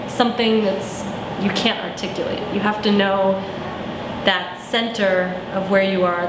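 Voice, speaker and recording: A young woman from Arizona answering interview questions thoughtfully, often pausing with "um" as she searches for words. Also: medium pitch. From Arizona, USA. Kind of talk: reflective personal interview. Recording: echoey room with clear background noise.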